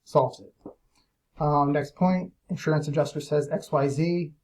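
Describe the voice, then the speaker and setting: A man's voice: fairly high pitch, fairly even intonation, unhurried, darkish tone, a little hiss or hum. A man giving an informal, opinionated explainer to clients in a blunt, emphatic way. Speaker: lead generation business owner.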